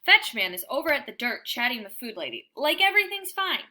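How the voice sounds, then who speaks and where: A woman narrates a children's book with dramatic, deliberate phrasing. Her voice is fairly high and bright, and it moves through a wide range of pitch as she tells the story. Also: big pitch swings. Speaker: read-aloud storyteller.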